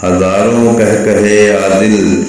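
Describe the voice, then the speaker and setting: A man recites poetry as a poet, in a deliberate, lilting, measured way with pauses between phrases. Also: on the low side, little pitch movement, a little mellow, slight background noise. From Pakistan. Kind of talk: slow, measured Urdu ghazal recitation. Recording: heavy echo, distant voice, music behind. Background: music.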